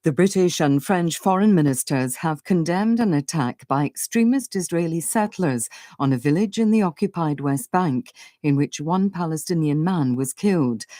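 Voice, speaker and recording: Low, mellow woman reading world news. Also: no echo, clean studio sound. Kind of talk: scripted news roundup.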